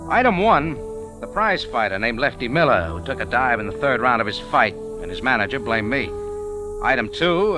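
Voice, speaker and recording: An actor playing a hard-boiled American cafe owner, narrating in a clipped, wry, tough way in 1940s radio drama style. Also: male voice, medium pitch, natural voice, low-quality recording. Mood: dry, recounting. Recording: old radio recording with music behind.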